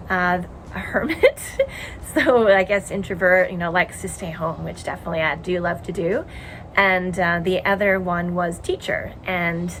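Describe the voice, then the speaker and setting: A woman in her forties from Montreal with a Canadian accent, hosting a casual knitting podcast from home and rambling warmly with many ums and uhs. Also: lowish voice, gravelly, slight background noise, low-quality recording. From Montreal, Quebec, Canada. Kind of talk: casual chat. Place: home in a tiny house.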